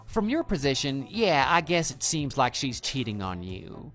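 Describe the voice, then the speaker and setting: Smug, brazen other man for audio drama, male actor: dismissive, condescending, very animated pitch, faint music underneath. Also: normal speed. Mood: gloating. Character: smug, brazen male lover taunting a betrayed husband. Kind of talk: scripted texting-story radio drama.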